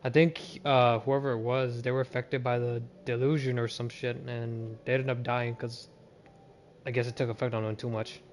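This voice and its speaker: Casual, halting male game streamer. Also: mid-range voice, flat delivery, slight background noise. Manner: informal, halting, repeats words. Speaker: game livestreamer. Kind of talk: casual livestream chat and game commentary.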